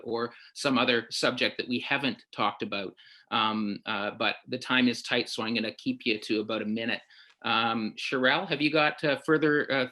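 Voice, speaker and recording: A man moderating a panel discussion, politely handing over to speakers in hesitant, pause-filled phrasing. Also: mid-range voice, sharp tone.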